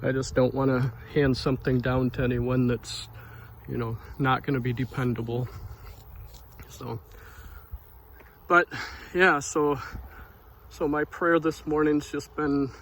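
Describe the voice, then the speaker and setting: A soft-spoken man giving an informal devotional talk outdoors near a street, in a quiet, unhurried manner. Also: mid-range voice, steady tone, slight background noise. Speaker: devotional vlogger. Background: passing cars.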